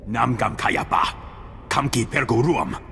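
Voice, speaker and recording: A man playing an alien scientist in a video game, with a gravelly, creaky voice. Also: medium pitch, animated, unhurried, sharp tone, slight background noise, exaggerated voice. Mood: animated. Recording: reverberant hall with music behind.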